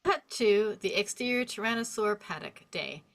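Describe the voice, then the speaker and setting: A woman narrating the stage directions at a script table read in an expressive storytelling manner. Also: mid-range voice, moderate pace. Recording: faint background noise and clicks.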